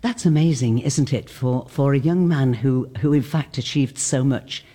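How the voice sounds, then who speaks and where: A presenter hosting an interview, reading scripted introductions and asking questions with warm curiosity. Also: mid-range voice, normal speed. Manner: warmly inquisitive, scripted introductions. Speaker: radio presenter.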